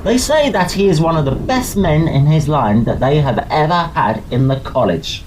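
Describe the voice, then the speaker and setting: Animated, opinionated young British gentleman oarsman played by a man. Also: a little high, normal speed, background noise, close to an everyday voice. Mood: confiding, disdainful. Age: young adult. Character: hearty, opinionated, gossipy Victorian student oarsman. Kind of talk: audio drama acting.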